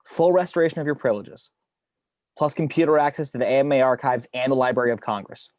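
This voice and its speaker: A man's voice: medium pitch, quick pace, darkish tone, dry recording, close to an everyday voice. A driven, tense male investigator, played by a man in a drama read. He speaks tersely and insistently, holding himself in control, with a mid-range voice in a very dry space.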